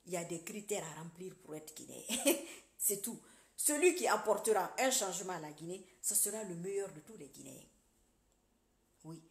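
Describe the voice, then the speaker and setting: A woman giving a casual political monologue to her online followers. She speaks straight to the viewers in a preachy, animated way, swinging between loud emphatic bursts and quiet muttered asides. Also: on the low side, moderate pace, echoey room. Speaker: social media commentator.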